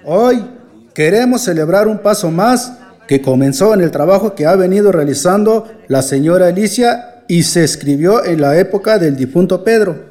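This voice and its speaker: An actor playing a calm, formal village leader addressing an assembly ceremoniously in a radio drama. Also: male voice, sits high, normal speed.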